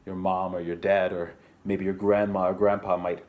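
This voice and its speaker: A man's voice: low-pitched, flat delivery, normal speed, a little mellow. A man hosting a children's picture book read-aloud, speaking with exaggerated, theatrical politeness and chatty asides. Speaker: library storyteller and host.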